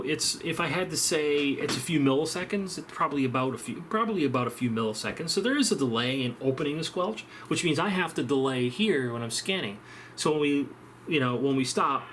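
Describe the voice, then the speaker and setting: Halting man explaining electronics, noisy recording. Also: mid-range voice, a bit bright. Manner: halting, thinking aloud. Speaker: presenter of an electronics demonstration. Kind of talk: informal unscripted technical explanation.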